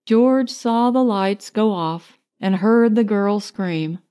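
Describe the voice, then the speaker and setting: A woman narrates a short story for an audiobook. Her delivery is scripted and slow, and her voice sits at a medium pitch for a woman. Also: mellow, smooth.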